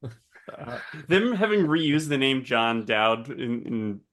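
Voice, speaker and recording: A man's voice: mid-range voice, big pitch swings, takes it slowly, fairly bright tone. A man co-hosting a podcast, chatting casually with joking banter. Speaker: podcast co-host.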